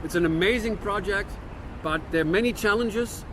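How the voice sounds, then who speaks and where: A man's voice: sits high, normal speed, noisy background. A man in his fifties from Germany with a German-American accent, musing earnestly to camera in a reflective monologue with frequent restarts. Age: 50s. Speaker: vlogger.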